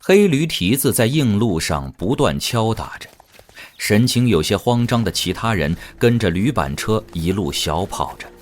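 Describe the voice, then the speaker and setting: A man's voice: fairly low pitch, big pitch swings, moderate pace. A man narrating a fantasy audio drama in a dramatic, scripted storytelling style. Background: music.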